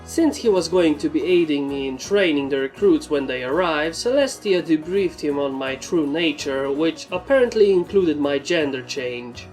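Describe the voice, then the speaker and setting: A woman's voice: sits low, lively intonation, moderate pace, few pauses, darkish tone, soft background music, low-quality recording. A woman narrating a scripted story in the first person. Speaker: fan fiction narrator.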